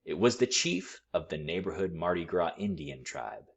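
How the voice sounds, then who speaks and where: A man reading a picture book aloud to children in an expressive, teacherly way. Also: deep voice, moderate pace. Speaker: school read-aloud storyteller.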